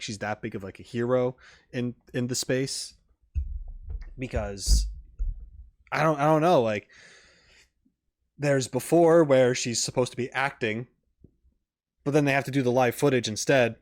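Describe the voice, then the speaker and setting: A man hosts a casual reaction stream in a medium-pitched voice. He comments off the cuff on what he is watching. His talk rambles, wandering into asides as he thinks aloud. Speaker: reaction video host and streamer.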